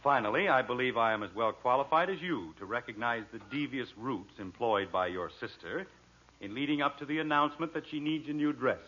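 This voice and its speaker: A man's voice: medium pitch, a little fast, pushed and bright. An actor with an American accent playing a pompous, exasperated middle-aged father in an old radio sitcom, on a muffled, hissy recording. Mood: stern, lofty. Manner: pompous, formal, easily exasperated. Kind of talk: 1940s radio sitcom acting.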